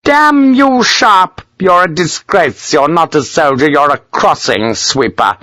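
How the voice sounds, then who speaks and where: High, blustering, bullying colonel. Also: male voice, expressive, measured pace, sharp tone, no room sound, studio quality, exaggerated voice. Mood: furious. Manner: blustering, barking, imperious. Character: pompous, bullying, irascible English colonel barking orders.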